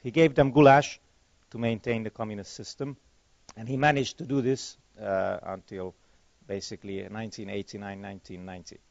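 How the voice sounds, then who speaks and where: A man's voice: fairly low pitch, steady tone, a little fast, vocal fry, darkish tone. A middle-aged man, a native Hungarian speaker with a Hungarian accent, answering audience questions at a conference in a chatty, digressive way that corrects itself as he goes. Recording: dull lo-fi conference recording.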